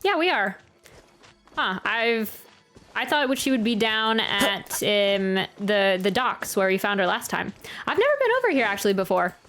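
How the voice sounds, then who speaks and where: A woman's voice: mid-range voice, sing-song, a little slow, fairly bright tone. A woman game streamer chatting casually as she reacts, rambling and stammering while thinking aloud. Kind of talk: casual gameplay reaction chat. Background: faint game music.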